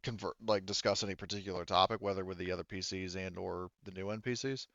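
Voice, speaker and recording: A man's voice: low voice, steady tone, fast talker, vocal fry, a bit bright, no room sound. A man casually moderating a tabletop roleplaying game as game master, on a slightly dull, close mic.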